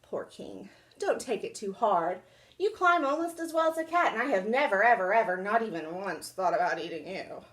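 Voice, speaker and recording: A woman's voice: mid-range voice, animated, normal speed, fairly bright tone, echoey room, close to an everyday voice. A woman voicing a proud, self-important old male cat in a children's storybook, speaking formally and condescendingly. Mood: condescending consolation. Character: proud, smug, oldest male house cat.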